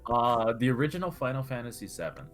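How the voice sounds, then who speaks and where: A young man co-hosting a podcast, talking casually about video games in halting, hesitant phrases. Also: mid-range voice, big pitch swings, over music. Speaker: podcast co-host.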